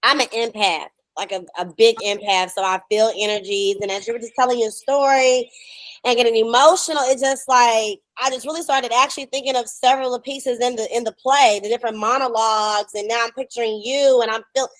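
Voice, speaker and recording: A woman's voice: a little high, steady tone, a little fast, no room sound, poor audio. A middle-aged American woman hosting a podcast, chatting casually in an effusive, slangy way over a video call. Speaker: podcast host and developing actor. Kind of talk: casual emotional conversation.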